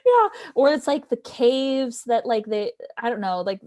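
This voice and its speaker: A woman's voice: on the high side, lively intonation, a little slow, a little mellow. An American woman, a choir conductor, chatting casually and informally, often laughing as she talks. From Fort Wayne, Indiana, United States. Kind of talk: casual conversation.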